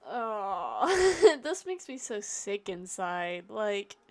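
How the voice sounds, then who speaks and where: A woman's voice: medium pitch, very animated, very slow. A young woman streaming a game, giving informal, slangy live reactions. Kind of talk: casual live reaction commentary.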